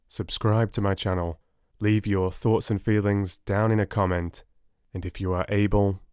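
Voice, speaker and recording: A man narrating an audiobook in a measured, deliberate dramatic reading style. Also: on the low side, dark tone, smooth, no echo, clean studio sound.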